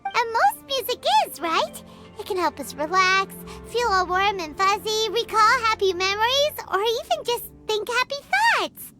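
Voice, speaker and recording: A woman's voice: extremely high pitch, lively intonation, a little slow, loud music behind the voice. An actress voicing a tiny, cheerful female fairy-like companion who chatters eagerly and calls herself by name. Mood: upbeat. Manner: bubbly, refers to herself in third person. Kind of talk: video game voice acting.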